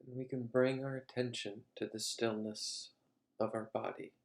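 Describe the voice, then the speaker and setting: A man leading a guided meditation as a teacher, speaking calmly in short, measured phrases with long pauses. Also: medium pitch.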